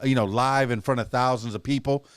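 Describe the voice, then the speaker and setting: A man's voice: medium pitch, fairly even intonation, fast, no room sound, studio quality. A man with a Cuban Spanish accent hosting a casual podcast interview, talking in an effusive, chatty and playful way. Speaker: podcast host.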